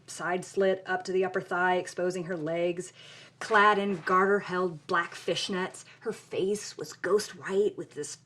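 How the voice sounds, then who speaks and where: An actress playing a dramatic, emphatic granddaughter investigating a family mystery in a scripted audio drama. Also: female voice, on the low side, a bit bright, distant mic. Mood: vivid, disapproving. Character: intense granddaughter investigating her film-star grandmother's mysterious death.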